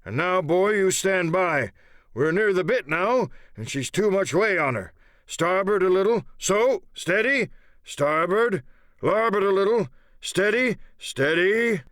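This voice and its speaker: A man voicing a wounded, sly old pirate coxswain with rough rustic sailor's speech, in a dramatised audiobook. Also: high-pitched, animated, unhurried, a bit bright, no room sound, studio quality, pitched above the performer's own voice. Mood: urgent, commanding. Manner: sly, blunt, commanding.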